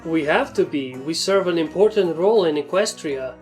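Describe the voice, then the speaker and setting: A woman's voice: low-pitched, expressive, moderate pace, a little mellow. A woman voicing a serious male pony royal guard in a storybook reading, formal and deferential. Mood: serious, dutiful. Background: music.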